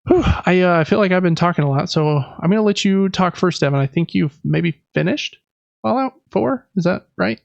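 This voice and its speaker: A man's voice: sits high, expressive, moderate pace, gravelly, no echo, dull audio. A man hosting a podcast, chatting informally and conversationally about games, close to the microphone. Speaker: podcast host. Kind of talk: casual gaming podcast chat.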